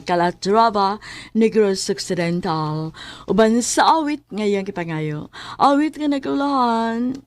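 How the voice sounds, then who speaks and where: A woman with a Cebuano accent hosting a radio drama, reading scripted announcements in a theatrical, drawn-out way. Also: on the high side, darkish tone, no echo.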